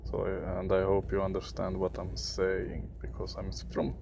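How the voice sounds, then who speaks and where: A young man from Sweden with a Swedish accent, for whom English is a third language, giving casual gameplay commentary in a halting way, often searching for words. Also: low-pitched, completely flat delivery, vocal fry, noisy background. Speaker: gaming video creator.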